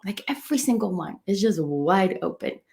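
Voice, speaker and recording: A woman's voice: medium pitch, expressive, normal speed, creaky, dark tone. A middle-aged woman whose first language is Portuguese, teaching an enthusiastic motivational lesson as a coach, stressing points by repeating words. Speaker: coach and run club business owner.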